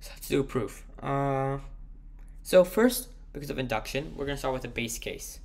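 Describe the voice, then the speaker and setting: A man with a sore, croaky throat, thinking aloud as he works through a math problem and slowing down as he types the proof. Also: mid-range voice, expressive, darkish tone. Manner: thinks aloud, slows down while typing. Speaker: math tutor. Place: at a computer, screen recording.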